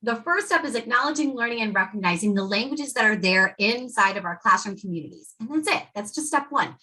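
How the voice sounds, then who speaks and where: A woman educator leading a workshop for teachers, talking with lively energy and acting out quotes from students and parents. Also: on the high side, a little fast. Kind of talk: presenting to educators.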